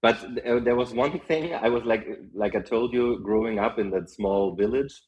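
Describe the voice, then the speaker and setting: A man's voice: mid-range voice, normal speed, lo-fi sound. German-accented man telling car stories. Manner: animated storytelling, frequent fillers and laughs. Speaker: podcast guest. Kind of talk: casual interview chat.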